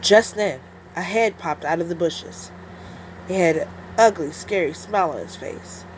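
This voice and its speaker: Slow-paced woman reading a children's story. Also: fairly low pitch, slight background noise, poor audio. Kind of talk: scripted children's story narration.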